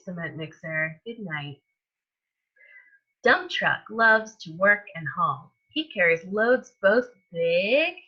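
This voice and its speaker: A woman reading a picture book aloud to young children as a storytime host, in an expressive manner that stretches out words and softens endings. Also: a little high, highs cut off. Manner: animated, with drawn-out words and hushed endings.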